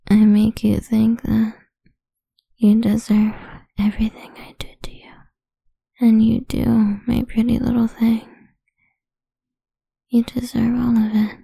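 A woman playing a domineering, condescending lover in intimate adult audio roleplay, speaking in a breathy, whispery voice with a commanding manner. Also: on the high side, little pitch movement, dark tone, dry recording, heavily acted. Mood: possessive, condescending. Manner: slow, commanding, alternately cooing and scornful.

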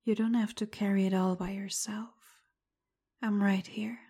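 A woman talking softly and very slowly in a breathy, husky voice with a gravelly fry. Her tone is mellow and dark, and she speaks directly to the listener. Much of what she says is whispered. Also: medium pitch, little pitch movement. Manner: apologetic, tender, soft-spoken; frustrated and confrontational at first. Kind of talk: roleplay audio addressed to the listener.

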